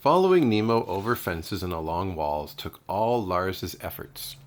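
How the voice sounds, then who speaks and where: Deep-voiced man narrating a children's storybook. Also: lively intonation, measured pace. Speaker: kindergarten teacher reading aloud.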